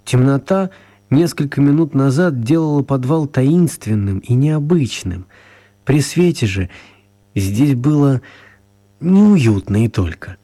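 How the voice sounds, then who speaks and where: A man narrates a radio play as a storyteller. His mid-pitched voice is warm-dark and noticeably husky, and he reads the scripted story expressively. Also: lively intonation, slow pace.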